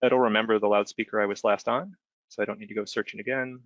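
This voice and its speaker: A man giving an informal, step-by-step software demonstration as a webinar trainer, explaining conversationally and often checking in with short tag questions. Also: fairly low pitch, fairly even intonation, fast, dry recording. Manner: conversational, explanatory, frequent check-in tags like "right?". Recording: dull, band-limited close dry voice recording.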